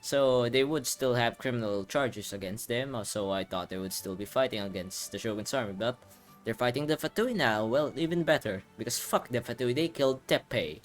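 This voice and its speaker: A man's voice: medium pitch, brisk. Casual male gamer thinking aloud over music. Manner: informal, rambling, thinks aloud. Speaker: gaming video host. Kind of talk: casual playthrough commentary.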